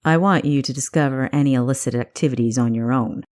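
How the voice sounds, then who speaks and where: A woman's voice: very deep voice, quick pace, darkish tone, pitched below the performer's own voice. A woman voicing a stern male spymaster in an audiobook, with a clipped, authoritative manner. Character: gruff older male spymaster, stern and teasing.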